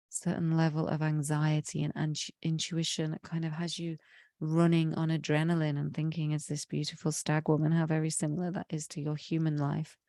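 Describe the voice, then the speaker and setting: A woman leading a guided meditation in a slow, soothing way, her voice slightly croaky from a cold. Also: low-pitched, mellow, no echo, clean studio sound. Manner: slow, soothing, drawn-out phrasing. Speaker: meditation leader.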